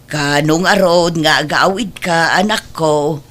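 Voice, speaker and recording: A woman playing a caring but firm mother of grown children in an Ilocano family radio drama, speaking earnestly and gently admonishing. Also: deep voice, takes it slowly. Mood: tender.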